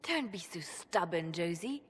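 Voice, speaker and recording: An actress playing a confident, pragmatic spymaster in a fantasy game cutscene, direct and insistent. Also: female voice, fairly low pitch, lively intonation, unhurried, a bit bright, breathy edge, heavily acted. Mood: insistent, assured. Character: pragmatic, confident female spymaster, cool and familiar. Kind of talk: scripted video game cutscene acting. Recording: long hall reverb.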